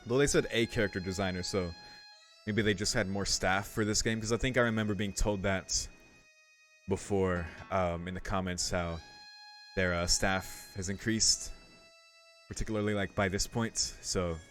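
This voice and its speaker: A man's voice: sits low, steady tone, moderate pace, fairly bright tone, faint music behind. A man giving casual, laid-back commentary as he plays through a game, murmuring short reactions. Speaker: video game streamer.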